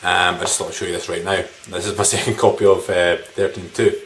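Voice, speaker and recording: A man in his thirties with a fairly low voice talks casually to a camera in a small room. He rambles informally and swears a little, and there is faint background noise. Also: little pitch movement, fairly quick, fairly bright tone. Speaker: video game collector vlogger. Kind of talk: casual chat showing game pickups to camera. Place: small living room indoors.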